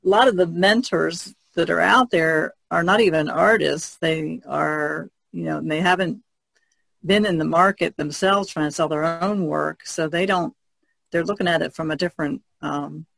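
A woman's voice: low-pitched, moderate pace, gravelly, fairly bright tone, no room sound. A woman speaking as a podcast co-host in a casual, conversational discussion.

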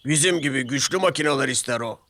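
A man's voice: medium pitch, fast talker, sharp tone, voice pushed up, natural voice. A man voicing a big, boastful, grumbling steam locomotive in a children's story. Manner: pompous, grumbling, repeats himself. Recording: music behind the voice.

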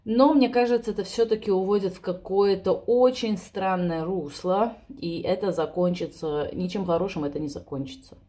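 A woman's voice: mid-range voice, moderate pace, few pauses, warm tone. A woman, chatting spontaneously and a little self-ironically to camera from her home kitchen. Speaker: vlogger. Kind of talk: spontaneous casual chat to camera. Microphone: camera or phone on the table.

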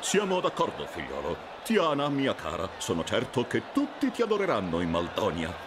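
A man playing an older, proud and affectionate king in an animated game dub. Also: on the low side, normal speed, background noise. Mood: warm, admiring.

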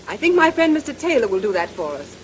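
A shrewd, composed young woman detective in an old radio mystery. Her high voice moves in lively swoops, probing and self-assured. A faint hiss lies on the 1930s recording. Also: fast, poor audio. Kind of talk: 1930s radio drama acting.

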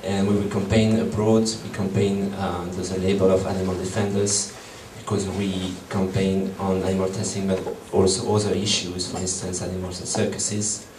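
A man's voice: low voice, level tone, moderate pace, hardly any pauses, background noise. A man in his thirties with a French accent, giving a hesitant public talk to an audience in a meeting room. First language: French and Portuguese. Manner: hesitant, many ums and restarts. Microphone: far room camera. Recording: echoey room from far off.

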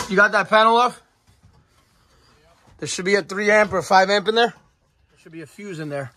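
Brisk, practical HVAC tech, higher-pitched man. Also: bright, forceful tone. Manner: practical, gives quick instructions to a helper. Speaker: HVAC technician. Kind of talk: on-the-job service call vlog. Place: house and yard, indoors and outdoors.

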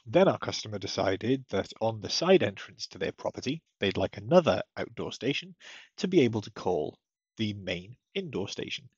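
A man narrating a step-by-step software tutorial in a methodical, explanatory way. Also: lowish voice, big pitch swings, normal speed, husky, no echo, dull audio. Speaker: technical support tutorial presenter.

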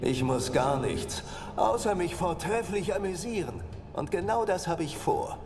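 A man's voice: sits high, big pitch swings, measured pace, heavily acted. An actor playing a boisterous, crude male ghost who mocks and demands to be entertained, in a video game dub. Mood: mocking. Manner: exuberant, crude, mocking. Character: boisterous male ghost, lewd pleasure-seeking nobleman. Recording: reverberant with music underneath.

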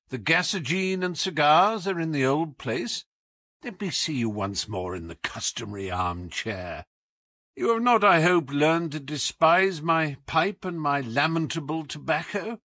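A man's voice: a little high, big pitch swings, measured pace, sharp tone, dry recording, voice pushed up, heavily acted. A man reading an audiobook as a whimsical, imperious detective with a British accent, theatrical, commanding and wry. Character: brilliant, whimsical, imperious male English detective.

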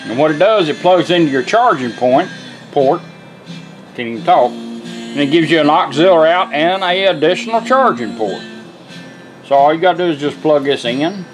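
A man's voice: on the high side, lively intonation, normal speed, soft background music, low-quality recording. A plainspoken, folksy man giving a casual hands-on product demonstration at a workshop bench. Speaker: product demonstrator, radio restorer.

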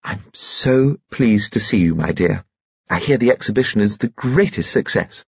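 A man's voice: on the low side, lively intonation, normal speed, creaky, slightly rough, no echo, lo-fi sound, heavily acted. A man voicing a gracious, gossipy elderly upper-class English lady in an audiobook. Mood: warm, chatty. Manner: gushing, gossipy. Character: gracious, chatty elderly society lady, a grandmother. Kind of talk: audiobook character voice.

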